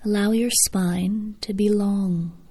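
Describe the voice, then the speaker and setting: A woman guiding a meditation in a soft, hushed, breathy voice, speaking gently with long pauses between phrases. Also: medium pitch, steady tone. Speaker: yoga nidra meditation guide. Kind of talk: guided meditation, scripted and soothing.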